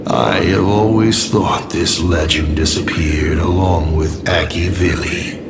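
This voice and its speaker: A man's voice: low-pitched, steady tone, takes it slowly. An actor voicing a smug, sardonic villain in a video game, theatrical and mockingly urbane as he drawls out his taunts. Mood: sardonic, musing. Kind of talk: dramatic video game voice acting. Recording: loud music under the voice. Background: loud background music.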